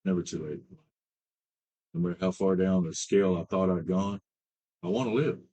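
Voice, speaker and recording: A man in his late fifties with a very low, husky voice shares his recovery story as a treatment client. He talks haltingly, with many fillers and restarts. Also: sing-song, mellow. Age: 50s. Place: treatment center.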